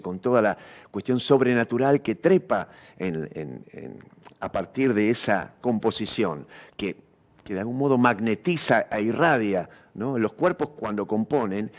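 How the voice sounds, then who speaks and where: An Argentine man with a fairly low voice gives a thoughtful interview. He speaks like an intellectual, building abstract ideas, searching for the exact phrase and adding short questioning tags. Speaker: interviewee, theatre practitioner. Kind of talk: reflective intellectual interview talk.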